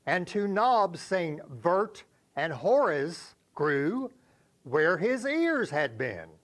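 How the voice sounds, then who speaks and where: A man reciting poems for a reader's theater in a measured, expressive storytelling way. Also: sits high. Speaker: poetry reader.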